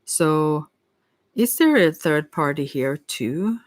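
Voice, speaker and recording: A tarot reader talking casually and hesitantly, thinking aloud while answering questions, in a soft voice that at times drops to a whisper. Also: medium pitch, expressive, slow pace, no echo. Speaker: tarot reader hosting a live reading.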